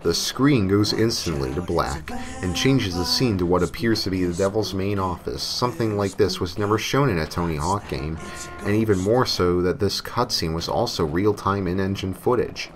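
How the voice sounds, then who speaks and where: A man's voice: low voice, expressive, normal speed. A man reading a scripted first-person creepypasta story as its narrator. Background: music.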